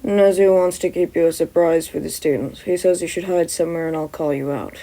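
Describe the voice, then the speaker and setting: A woman voicing an exhausted, irritable male hero teacher in a gruff, blunt, deadpan way for an audio story. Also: lowish voice, brisk, pitched below the performer's own voice, lightly acted, low-quality recording. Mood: weary, muttering.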